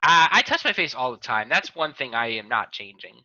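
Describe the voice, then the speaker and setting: A man's voice: mid-range voice, sing-song, brisk, bright, forceful tone, no room sound. A man hosting a podcast in casual chat over a video call, rambling and correcting himself as he goes. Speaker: podcast host. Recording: dull lo-fi video call audio, dry.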